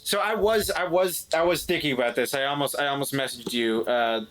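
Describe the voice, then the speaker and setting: Man, tabletop player, casual game discussion, bright sharp voice, mid pitch. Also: fairly quick. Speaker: tabletop role-play player.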